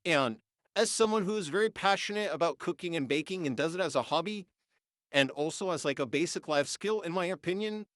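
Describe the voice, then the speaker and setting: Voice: medium pitch, lively intonation, a little fast, no echo, clean studio sound. A nineteen-year-old vlogger, congested and sniffling, ranting heatedly and swearing through an AI voice filter. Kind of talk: unscripted rant.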